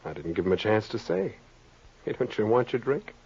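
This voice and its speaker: A man playing a possessive, protective tough-guy husband in an old-time radio crime drama, slangy and quietly menacing. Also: lowish voice, sing-song, brisk, gravelly, slightly rough, exaggerated voice. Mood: casual, dismissive. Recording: muffled, noisy old-time radio recording.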